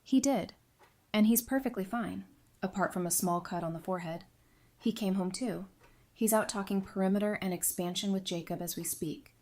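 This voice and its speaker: An actress playing a supportive, caring, plain-spoken friend in an audio drama. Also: female voice, medium pitch, normal speed, darkish tone, natural voice. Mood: matter-of-fact.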